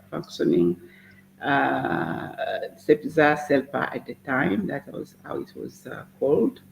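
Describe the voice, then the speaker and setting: A woman doctor in her fifties giving a halting personal account with many pauses, over a call. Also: deep voice, vocal fry, a little mellow, a little hiss or hum. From Congo. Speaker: doctor and guest. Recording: roomy, dull lo-fi call line.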